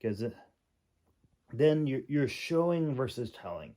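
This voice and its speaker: A man giving an informal, unscripted critique in a halting, hesitant way, with many pauses and repeated words. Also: mid-range voice, very animated, warm tone. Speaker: audiobook narrator and manuscript critic.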